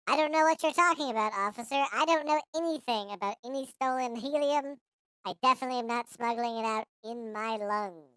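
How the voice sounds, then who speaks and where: A man voicing an evasive child through a high-pitched, helium-like voice changer. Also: fairly quick, fairly bright tone, clear, resonant tone, dry recording, low-quality recording. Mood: defensive innocence. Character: evasive squeaky child smuggling helium, denying everything.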